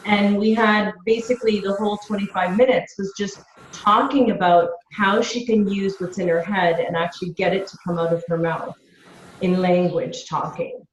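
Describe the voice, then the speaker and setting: A woman's voice: mid-range voice, fairly even intonation, normal speed, slight background noise. A Canadian woman teacher chatting eagerly during an online seminar over a video call. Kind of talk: casual chat in an online seminar. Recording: echoey dull video call audio.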